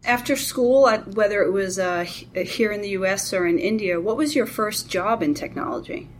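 A woman hosting an interview podcast who reads prepared questions in a clear, even way. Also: medium pitch, moderate pace, a little hiss or hum. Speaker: podcast host.

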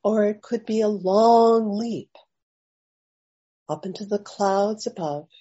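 A woman leads a guided meditation at a slow pace, with a soft-edged, mellow tone. Her phrasing is gentle and leaves long pauses. The recording is a little dull and lo-fi. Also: mid-range voice, husky. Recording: slightly dull, lo-fi audio.

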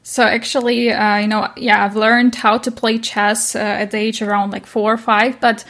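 A woman's voice: a little high, level tone, moderate pace, fairly bright tone. A young woman from Ukraine with a Ukrainian accent, speaking non-native English hesitantly as an interview guest. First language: not English. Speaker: interview guest, chess coach. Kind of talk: casual interview chat.

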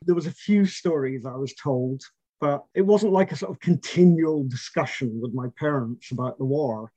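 A middle-aged man, telling about his family's past in a measured, reflective way, with fillers and restarts. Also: a little high, dark tone, dry recording. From Scotland. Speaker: interviewee. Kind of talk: oral history interview. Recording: dull, lo-fi and dry.

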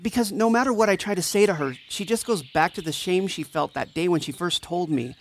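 A woman's voice: on the low side, brisk, slight background noise, lightly acted. A woman playing an empathetic, anxious mentor and counselor in a fiction podcast drama, sincere and self-doubting. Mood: distressed.

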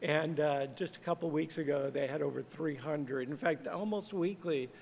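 Man, pastor, gravelly voice, slightly high pitch, earnest conversational talk, lingering reverb. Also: normal speed, a little mellow, a little hiss or hum. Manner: conversational, earnest, lightly laughing. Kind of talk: podcast interview.

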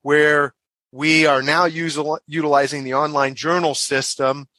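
A man hosts a professional podcast, and his voice is bright and forceful. He sits close to a dry, dead mic. He talks in a halting way, full of "uh"s and repeated words. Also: a little high, flat delivery, no echo. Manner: hesitant, frequent uh fillers and repeated words. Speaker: podcast host, association director.

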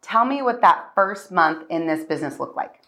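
A woman's voice: on the low side, lively intonation, normal speed, pushed and bright. A woman hosting a podcast, chatting in a bubbly way with plenty of fillers as she interviews a guest. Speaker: podcast host. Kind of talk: casual interview.

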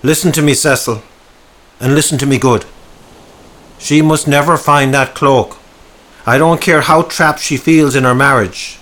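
A man voicing a stern, forceful character giving a grave warning in an audiobook story. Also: mid-range voice, fairly even intonation, moderate pace, hardly any pauses, pitched above the performer's own voice, natural voice. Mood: stern, urgent. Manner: firm, emphatic.

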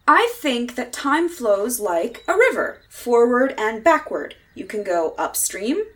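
Woman acting fatalistic lost woman, horror radio drama, slow delivery, wide melodramatic intonation, ominous, insistent. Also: fairly high pitch, very animated. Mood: grim, resigned. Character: doomed, fatalistic woman stranded back in time.

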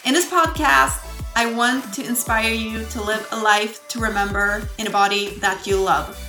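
A woman's voice: fairly high pitch, steady tone, moderate pace, music in the background. A young woman with a Swedish accent, a native Swedish speaker, hosting a podcast in a casual, rambling way full of hesitant fillers. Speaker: podcast host. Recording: slightly dull lo-fi recording.

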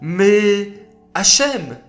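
A man voicing a modest, gentle shepherd hero in a children's story, earnest and pleading. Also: sits high, big pitch swings, very slow, soft background music, pitched above the performer's own voice, exaggerated voice.

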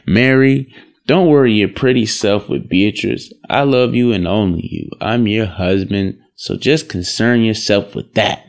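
An actor playing a smooth-talking, reassuring husband in an audio drama. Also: male voice, fairly low pitch, measured pace. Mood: placating. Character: smooth-talking, placating husband and farm worker.

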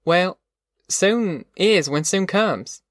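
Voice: medium pitch, lively intonation, very slow, dry recording, studio quality, pitched above the performer's own voice. A reader voicing a cocky, evasive young country man with an offhand rustic drawl in an audiobook story.

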